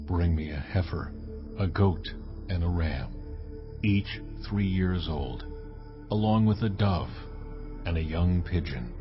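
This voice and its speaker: A deep divine male voice, solemn and commanding. He speaks slowly and with stately weight in a scripture drama, over clear music on a slightly dull recording. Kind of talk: dramatized audio Bible acting. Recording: dull, band-limited stream with music.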